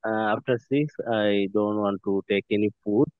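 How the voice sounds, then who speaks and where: A man's voice: fairly low pitch, fairly even intonation, no echo. A man in his thirties from Bangladesh, calling in from a car to introduce himself politely, with many hesitations. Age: 30s. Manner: halting, many fillers, polite "sir". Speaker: student. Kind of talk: self-introduction in class. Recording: tinny phone line.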